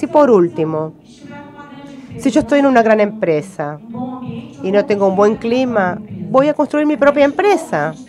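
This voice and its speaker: A woman giving simultaneous interpretation at a conference, rendering the speech phrase by phrase with some halting. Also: mid-range voice.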